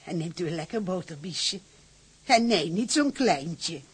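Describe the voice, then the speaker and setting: A woman's voice: fairly low pitch, lively intonation, a little slow, slightly rough, exaggerated voice. An actress playing a fussy, hospitable old mother of a sailor in a Dutch radio drama. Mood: hospitable, motherly.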